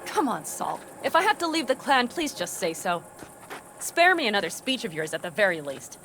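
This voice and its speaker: A woman's voice: medium pitch, big pitch swings, moderate pace, natural voice. A woman voicing a headstrong, teasing, loyal young mercenary in a video game, with music and vehicle noise behind her. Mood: irritated.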